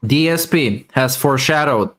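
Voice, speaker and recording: A man co-hosting a podcast, asking teasing questions in an animated, casual way over a remote line. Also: mid-range voice, normal speed. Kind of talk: casual chat.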